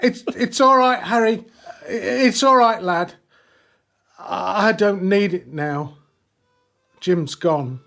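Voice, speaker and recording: An actor playing a shaken but protective wartime army sergeant, stammering urgently and soothingly in a radio drama. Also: male voice, high-pitched, takes it slowly, gravelly, long pauses, fairly bright tone, soft background music, exaggerated voice. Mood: gentle, grave.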